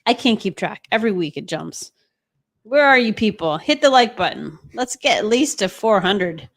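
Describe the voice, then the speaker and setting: A woman's voice: mid-range voice, animated, moderate pace. A woman co-hosting a live stream, reading out viewer chat and bantering casually in a playful, teasing way.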